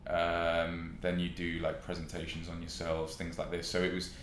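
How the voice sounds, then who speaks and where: Deep young southern English man, roomy sound. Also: completely flat delivery, normal speed, a bit bright. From West Sussex, England. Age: young adult. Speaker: podcast guest. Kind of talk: casual chat, telling own experience. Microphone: podcast microphone. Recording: roomy echo with faint noise.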